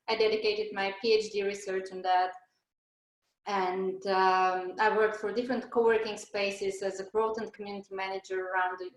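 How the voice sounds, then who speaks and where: A woman's voice: medium pitch, reverberant, low-quality recording. A woman from Bulgaria in her thirties hosting a business webinar over a video call, hesitant with many fillers and restarts. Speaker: webinar host. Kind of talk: presenting a webinar.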